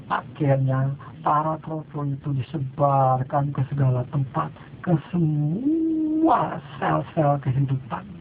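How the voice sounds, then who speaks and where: A man narrating a satirical fable in an ironic, mock-solemn storytelling manner, with dry asides. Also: fairly high pitch. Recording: tinny lo-fi phone-like sound with faint noise.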